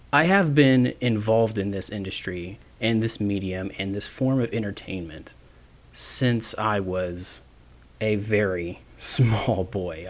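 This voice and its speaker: Rambling man in his late twenties. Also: fairly low pitch. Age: 20s. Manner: rambling, self-deprecating, frequent restarts and fillers. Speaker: podcast host. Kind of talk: casual solo podcast monologue.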